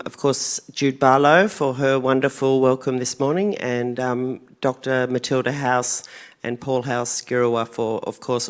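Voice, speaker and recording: Gentle Australian woman curator moderating. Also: a bass voice. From Central Australia. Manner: gracious, gentle formal acknowledgements. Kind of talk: public panel conversation. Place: theatre stage at a gallery event.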